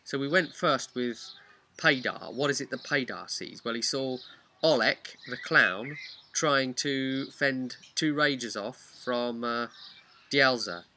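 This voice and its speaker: Bright, forceful male game master. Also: mid-range voice, slow pace, slight background noise. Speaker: game master and vlog host. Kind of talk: casual recap of a tabletop roleplay session.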